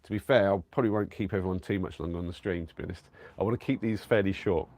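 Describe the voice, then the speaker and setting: A man's voice: low-pitched, steady tone, rapid-fire. A man, rambling casually to viewers on a live stream from his camper van, a bit bunged up from a cold. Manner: chatty, rambling, warm to viewers, many fillers and restarts.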